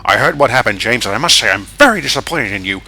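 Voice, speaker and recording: Stern middle-aged railway boss, guy doing the voice, firm and measured, a bit bright. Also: mid-range voice, expressive.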